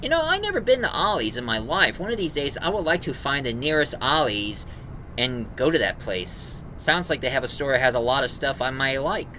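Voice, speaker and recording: A man giving a casual, rambling review in a halting, enthusiastic fan manner. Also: medium pitch, sing-song, sharp tone, slight background noise, rough recording. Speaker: reviewer, host.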